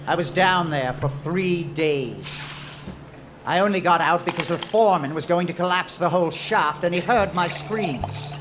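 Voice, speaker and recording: A man playing a bitter, obsessive treasure hunter in a macabre audio drama, speaking with deliberate theatrical menace. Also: a little high, noisy background, close to an everyday voice. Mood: aggrieved. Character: bitter, obsessive male treasure hunter, vengeful rival named Jacob.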